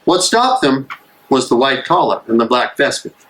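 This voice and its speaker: A man acting an earnest, weary American minister of the 1930s in a live dramatic reading, speaking emphatically and deliberately. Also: mid-range voice, sing-song, fairly bright tone. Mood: earnest storytelling. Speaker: actor in a dramatic play reading.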